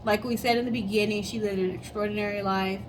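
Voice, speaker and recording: A woman's voice: medium pitch, fairly even intonation, quick pace, slight background noise, poor audio. A woman co-hosting a casual storytelling show, chatting conversationally and speaking straight to viewers. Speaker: co-host of a storytelling guessing show.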